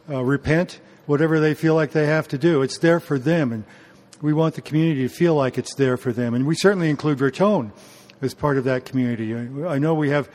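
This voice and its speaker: A man speaking in a measured, casual way in an interview, often pausing with fillers and clearing his throat. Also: on the high side, a little mellow.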